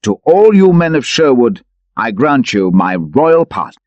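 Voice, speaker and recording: Man voicing a commanding king, sweeping pitch. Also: very animated, measured pace, a little mellow, dry recording. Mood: regal, magnanimous. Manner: regal, declamatory. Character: commanding, magnanimous warrior king in adventure tale. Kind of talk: dramatic audiobook character voice.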